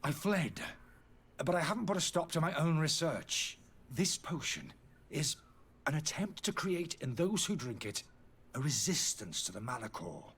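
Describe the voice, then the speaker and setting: An actor playing a disgraced, indignant alchemist in a fantasy video game, pleading and justifying himself theatrically in a slightly husky voice. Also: male voice, a little high, very animated, moderate pace, a bit bright, heavily acted. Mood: defensive, agitated. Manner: agitated, defensive, theatrical delivery.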